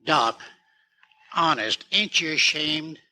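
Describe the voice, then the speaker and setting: Squeaky, nervous stagecoach driver. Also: male voice, lively intonation, slow pace, pushed and bright, heavily acted, low-quality recording. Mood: worried. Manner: folksy, rustic, fretful. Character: nervous, folksy, simple-minded stagecoach driver. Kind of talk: old-time Western radio drama acting.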